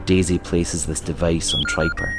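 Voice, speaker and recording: A man's voice: sits low, flat delivery, moderate pace, loud music behind the voice. A man reading scripted narration as the narrator of an audio drama.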